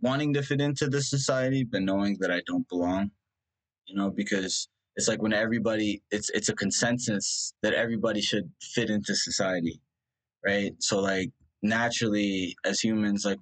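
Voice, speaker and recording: Rambling young man with a lowish voice. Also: moderate pace, fairly bright tone, low-quality recording. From India. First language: Punjabi. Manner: rambling, full of "like" and restarts. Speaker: guest. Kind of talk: reflective personal conversation.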